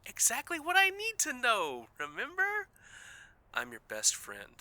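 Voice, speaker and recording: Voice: mid-range voice, huge pitch swings, unhurried, sharp tone, no echo, heavily acted. Actor as uncanny imaginary trickster, wildly swinging intonation, sugary condescension, smug amusement, audio drama, close dry sound. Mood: gleeful, taunting. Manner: teasing, sing-song, condescending, with amused chuckles. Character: playful, eerie imaginary best friend, unreal being, smug trickster. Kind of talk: audio fiction podcast acting.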